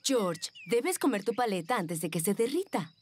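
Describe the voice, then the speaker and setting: A woman's voice: medium pitch, very animated, moderate pace, darkish tone, slight background noise, lightly acted. A woman voicing a caring, sensible mother pig in a children's cartoon dub.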